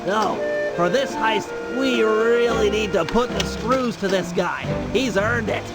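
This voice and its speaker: Bentley, a very high-voiced brainy sidekick. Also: male voice, unhurried. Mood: determined briefing. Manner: precise, bookish, explanatory. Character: brainy, earnest male animal sidekick who plans the heists. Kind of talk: video game character acting. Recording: prominent music behind the voice.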